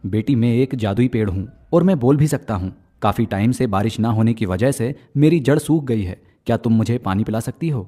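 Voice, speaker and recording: Guy doing a kind magic tree for a kids story, soft mellow fatherly voice, gentle and reassuring. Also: medium pitch, expressive, a little fast, few pauses, faint music behind, natural voice. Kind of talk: children's moral story acting.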